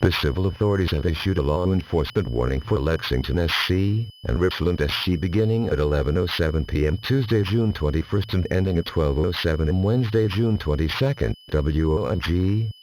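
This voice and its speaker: A man's voice: low-pitched, brisk, hardly any pauses, darkish tone, slight background noise. A man reading scripted emergency warnings as a formal, mechanical announcer. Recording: dull lo-fi alert audio.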